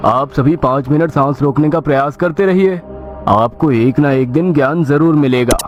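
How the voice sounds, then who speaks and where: A man's voice: a little high, normal speed, dull audio, lightly acted. A man playing a smug, cunning village merchant in a cartoon moral story, persuading as he explains. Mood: salesmanlike. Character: smug, cunning male village merchant selling false promises. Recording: loud music under the voice.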